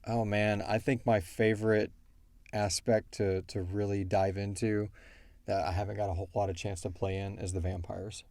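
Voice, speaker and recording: A man hosting a casual podcast Q&A, thinking aloud in a halting way. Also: on the low side, little pitch movement, gravelly, a little mellow. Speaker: podcast host and show creator. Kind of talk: casual Q&A chat.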